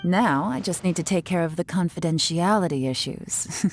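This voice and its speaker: Woman acting as female secret agent, fairly low pitch, cool, knowing, teasing, video game dialogue, faint music behind. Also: natural voice. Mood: cool, assessing. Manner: sly, unhurried, knowing. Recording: music under the voice.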